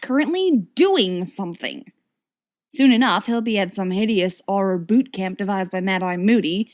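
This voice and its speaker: Higher-voiced woman reading a story aloud. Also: a little mellow. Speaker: audiobook reader of fan fiction. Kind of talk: expressive scripted story narration.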